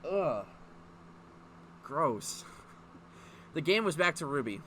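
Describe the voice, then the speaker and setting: A man's voice: fairly high pitch, big pitch swings, takes it slowly, bright, forceful tone, a little hiss or hum. A man reading a story aloud in a mocking, informal way, breaking off to add sweary, incredulous commentary. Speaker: online video host reading fan fiction aloud.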